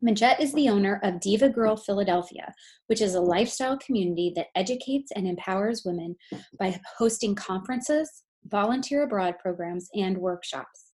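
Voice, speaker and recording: A woman's voice: mid-range voice, normal speed, darkish tone. A woman hosting a podcast, reading a guest introduction and then chatting eagerly with her guest. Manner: upbeat, eager. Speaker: podcast host. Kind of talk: scripted intro then casual interview.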